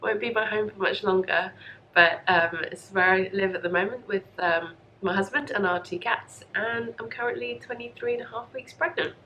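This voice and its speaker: A woman's voice: medium pitch, normal speed, sharp tone, dull audio, a little hiss or hum. A young woman hosting a casual, chatty podcast, congested from a cold, rambling in an apologetic, self-deprecating way. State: congested and coughy from a lingering cold. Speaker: podcast host. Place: home craft room.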